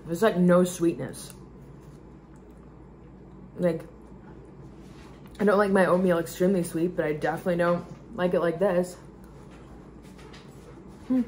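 A young woman talks straight to a handheld camera in a loose, rambling way, full of "like" fillers. Her voice sits a little low and sounds slightly raspy and stuffy. A faint background hum stays under her talk. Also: darkish tone. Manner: rambling, lots of "like" fillers, chatty. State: stuffy nose, a bit hoarse. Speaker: lifestyle vlogger. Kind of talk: casual rambling vlog chat. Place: home kitchen indoors, then back patio outdoors. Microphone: camera or phone at arm's length.

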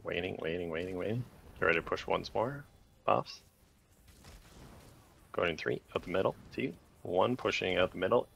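A man leading a raid group over voice chat, calling short commands in rapid repeated bursts with countdowns. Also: sits low, creaky, fairly bright tone, slight background noise.

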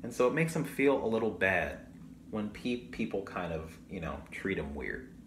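Expressive young man reading aloud, roomy sound. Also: low-pitched, normal speed. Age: young adult. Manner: expressive, chatty, addressing young listeners. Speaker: children's storybook reader. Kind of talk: picture-book read-aloud with asides to children. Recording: roomy echo, audible background noise.

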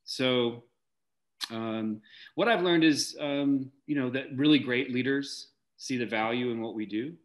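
A man in his forties answering reflectively as an interview guest on a video call, articulate and measured, pausing to search for words. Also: mid-range voice, low-quality recording. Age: 40s. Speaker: interview guest, theater director. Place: indoor room, video call.

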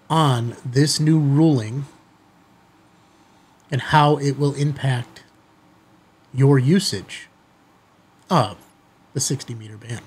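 A middle-aged American man with a slightly husky voice, talking informally and directly to viewers as a video host. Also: fairly high pitch, darkish tone. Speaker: amateur radio video host. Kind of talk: casual chatty commentary to viewers. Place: small indoor studio office, seated at desk.

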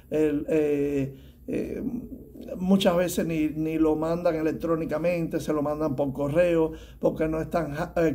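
A man in his fifties with a Cuban Spanish accent, a tax preparer giving halting advice to the camera from a small office, with many fillers and restarts. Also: on the high side, warm tone. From Cuba. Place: small office room indoors.

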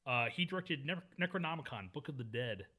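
Bright-voiced man chatting loosely. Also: medium pitch, lively intonation, a little fast. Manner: rambling, self-correcting, laughs often. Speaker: podcast co-host. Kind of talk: casual film discussion.

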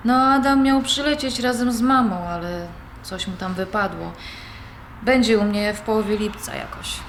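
A woman's voice: mid-range voice, moderate pace, close to an everyday voice. A young woman playing an independent-minded granddaughter, conversational and questioning, in audio drama dialogue. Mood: lightly upbeat. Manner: conversational, questioning, mildly protesting. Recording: roomy recording with background noise.

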